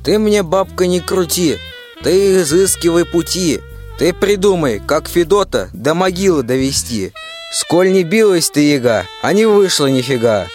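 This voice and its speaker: A man's voice: fairly high pitch, expressive, measured pace, darkish tone, faint music behind. A male performer playing a blunt, impatient, belligerent general who speaks in pushy rhyme in a radio fairy tale. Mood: impatient, threatening. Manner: pushy, blustering rhymed speech.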